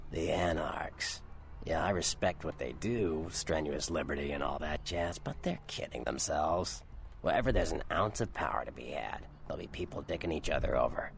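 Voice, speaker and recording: An actor voicing a cynical, streetwise vampire informant in a video game, gravelly, wry and blunt. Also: male voice, extremely low pitch, expressive, moderate pace, a little hiss or hum, full character voice. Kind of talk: video game character dialogue.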